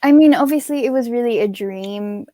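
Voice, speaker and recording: A woman's voice: on the high side, normal speed, mellow. Give me a young woman from Australia, a pageant titleholder answering interview questions in a chatty, earnest way with lots of fillers.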